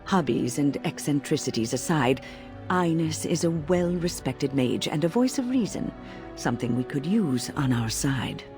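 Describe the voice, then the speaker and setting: Wise elder female mage for fantasy game: low, mellow, formal and cautious, music underneath. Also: lively intonation. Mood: friendly, businesslike. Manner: formal, measured, kindly diction. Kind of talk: fantasy game dialogue acting.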